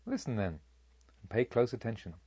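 Storybook holy monk, man reading the part: calm, measured, gently admonishing, a touch husky, dull recording. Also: mid-range voice, dry recording, pitched below the performer's own voice. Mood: gentle admonishing. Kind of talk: dramatised audiobook dialogue.

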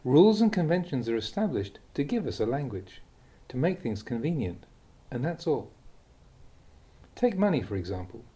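A man, a monk, reading aloud a Buddhist teaching in a calm, deliberate way. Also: slightly rough. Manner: calm, deliberate reading with lively pitch on key words. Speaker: monk reading Dhamma talks.

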